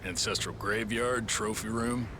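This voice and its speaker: A man playing a chatty, informal guard in a video game, talking in a relaxed way. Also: on the low side, a little slow, natural voice. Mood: easygoing, admiring. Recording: heavy outdoor ambience noise, quiet voice.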